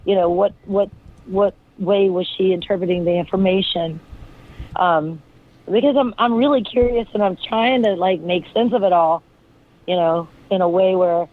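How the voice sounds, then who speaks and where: A hoarse woman with a raspy voice, a guest talking in a rambling, searching way with many fillers. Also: medium pitch, moderate pace. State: hoarse from illness. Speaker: guest, friend of the deceased. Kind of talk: casual interview talk. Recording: tinny phone line.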